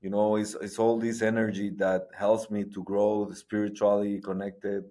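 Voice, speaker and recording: Middle-aged man, somewhat low voice, stop-start guest answers. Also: little pitch movement, warm tone. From Guatemala. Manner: halting, frequent restarts and repetitions. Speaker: guest, sports entrepreneur. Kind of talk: conversational interview.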